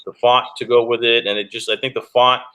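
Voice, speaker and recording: A man's voice: mid-range voice, little pitch movement, brisk, sharp tone, clean tone. A man, son of Cuban parents and a beard company owner, talking casually on a group video call and rambling with many restarts. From United States, Cuban parents.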